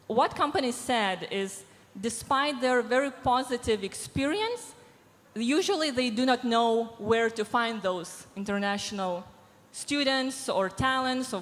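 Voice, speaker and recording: A woman, answering panel questions through a handheld mic, measured and pausing with many fillers. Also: fairly high pitch, fairly bright tone. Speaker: panelist from a diversity organization. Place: large event hall stage. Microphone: handheld microphone. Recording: reverberant hall sound.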